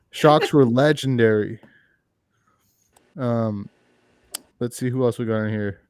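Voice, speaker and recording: A man co-hosting a livestream over a video call, reading viewer comments in a flat, dry way. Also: mid-range voice, slow pace, clear, resonant tone, no room sound. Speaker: livestream co-host. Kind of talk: casual chat, reading comments.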